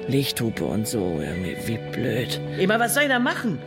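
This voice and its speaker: A woman's voice: rumbling low, huge pitch swings, normal speed, music in the background, full character voice. An actress playing a bitter, coarse middle-aged woman who tells her story sardonically in a radio drama, in colloquial speech. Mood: agitated, recounting. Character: bitter, foul-mouthed middle-aged woman telling of prison. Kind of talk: first-person radio drama narration.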